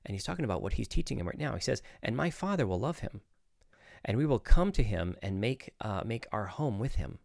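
A man's voice: on the low side, very animated, fairly quick, creaky, a little mellow, no echo. A man in his forties giving informal, opinionated Bible teaching in a conversational, explanatory way, close to a studio microphone. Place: small home studio, indoors. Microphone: close studio microphone on desk.

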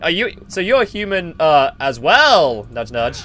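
Animated young man in a roleplay. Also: a little high, measured pace, faint music behind. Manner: animated, laughing, talks over his partner. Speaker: roleplay channel host playing a ghost hotel worker. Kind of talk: improvised Minecraft roleplay chat.

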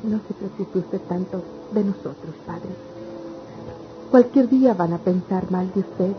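A woman's voice: medium pitch, a little slow, close to an everyday voice. An actress playing an embittered, weary country mother in an old-fashioned radio serial, with plaintive delivery. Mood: aggrieved, doubtful. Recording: dull lo-fi radio serial with noise.

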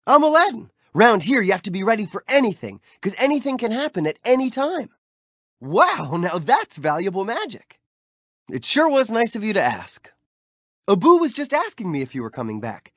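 A cheerful young street-thief hero from a video game, chatty and playful as he cheers the player on. His voice swoops widely up and down with bright youthful bounce. It is recorded dry and close on a studio mic with no room sound. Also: high-pitched, big pitch swings, moderate pace, no echo, heavily acted. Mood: upbeat. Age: young adult. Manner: friendly, playful, upbeat and encouraging. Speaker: game character.

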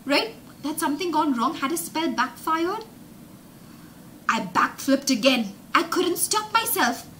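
A young woman telling a story as a children's teacher, dramatic and emphatic, pausing to explain words with synonyms. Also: sits high, bright, forceful tone, slight background noise. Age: young adult. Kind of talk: animated storytelling lesson with explanations. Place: indoor room with glass-fronted bookshelves.

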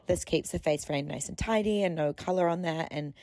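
A woman's voice: sits low, fairly quick, darkish tone, no echo. A young woman giving a casual voice-over tutorial as a hair colourist, chatty and explanatory in an informal way.

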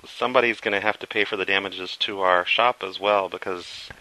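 A man on a phone call speaking as an employee, halting and hesitant. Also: deep voice, steady tone, sharp tone. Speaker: prank caller posing as a dealership employee. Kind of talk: phone conversation, improvised prank.

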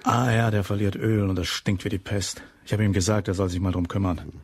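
Weary gruff lighthouse chief. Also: male voice, low-pitched, fairly even intonation, a little fast, no room sound. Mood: weary, irritated. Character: gruff, weary male head keeper of a lighthouse. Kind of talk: German radio crime drama acting.

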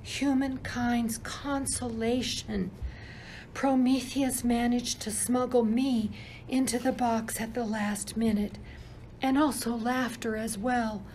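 Woman as solemn hope spirit, slow and deliberate, radio play, faint noise. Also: a little high, steady tone, darkish tone. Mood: earnest. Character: Hope, solemn female spirit consoling humankind. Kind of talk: amateur radio play acting. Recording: slightly noisy amateur recording.

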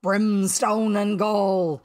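A woman's voice: mid-range voice, animated, slow pace. A woman voicing a proud, brooding male pirate captain in a storybook, commanding and theatrical, drawing out exclamations. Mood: furious.

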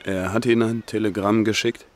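A male actor as a gruff rural deputy in a radio crime drama. His voice is on the low side. He is curt and doubtful, putting things bluntly and without hurry. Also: level tone, fairly quick, slight background noise, close to an everyday voice. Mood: gruff, skeptical. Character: gruff small-town deputy sheriff, unhurried, skeptical.

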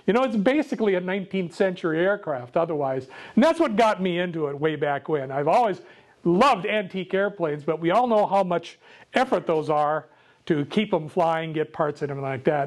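An older man with a loud voice gives a casual, jokey talk to a club meeting, speaking without a microphone. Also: sits high. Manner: jokey, self-deprecating, chatty with laughs. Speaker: guest speaker.

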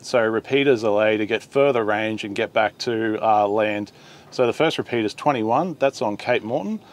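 Low-voiced Australian man, roomy sound. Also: moderate pace. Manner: plain-spoken, step-by-step explaining. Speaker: volunteer coast guard instructor presenting a video. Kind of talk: informal instructional presentation. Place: boat on water, open garage, radio room. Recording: roomy echo, clear ambient noise.

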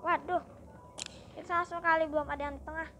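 A woman's voice: very high voice, fairly even intonation, quick pace, fairly bright tone, slight background noise. A young woman with an Indonesian accent giving casual let's play commentary as a gaming streamer.

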